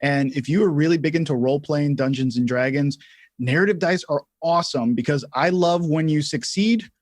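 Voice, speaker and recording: A man's voice: fairly high pitch, brisk, no echo, clean studio sound. A man co-hosting a livestream tutorial in casual chat, informal and self-deprecating, often saying "like" as he goes. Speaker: livestream co-host.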